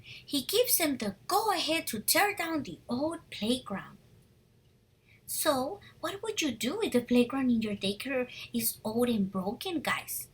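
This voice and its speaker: A woman teaching young children through a picture-book read-aloud, animated and encouraging, pausing to ask questions, with a husky, breathy voice. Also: sits high.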